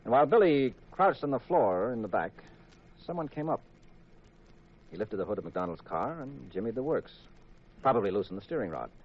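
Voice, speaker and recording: Clever American detective in old radio mystery, husky storyteller, muffled period recording. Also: male voice, mid-range voice, very animated, brisk. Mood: steady recounting. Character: shrewd, composed male amateur detective, husband. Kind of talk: 1950s radio mystery acting.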